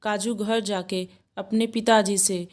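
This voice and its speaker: A woman narrating an animated moral tale in a scripted storytelling style. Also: medium pitch, takes it slowly.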